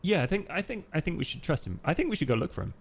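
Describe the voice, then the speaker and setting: A man's voice: a little high, sing-song, very fast, breathy edge, full character voice. A man playing a hesitant, curious undead man in a tabletop game, speaking in a subdued way and repeating his phrases. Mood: hesitant, insistent.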